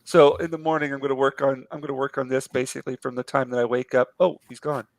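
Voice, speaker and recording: Hoarse, gravelly man chatting late at night. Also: medium pitch, fast, no room sound. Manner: relaxed, rambling, many fillers. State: hoarse, losing his voice. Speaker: comic artist hosting a live art stream. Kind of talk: casual late-night chat.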